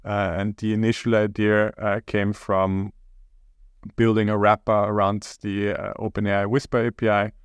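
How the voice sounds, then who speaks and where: Hesitant man, flat smooth voice. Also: fairly low pitch, no echo, clean studio sound. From Austria. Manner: hesitant, halting, many pauses. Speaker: startup co-founder, podcast guest. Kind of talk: casual interview talk.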